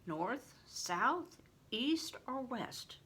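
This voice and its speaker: An older woman reading a novel aloud in an expressive, deliberate storytelling manner, stressing words for drama. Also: on the high side, husky. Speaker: reader, retired reading teacher. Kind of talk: expressive audiobook-style read-aloud of a novel.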